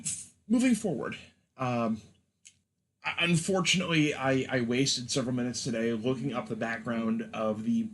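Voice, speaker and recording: A man's voice: mid-range voice, rough recording. Male co-host, 30s, mid-range voice, conversational, moves the discussion along. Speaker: podcast co-host. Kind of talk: casual discussion, steering the topic.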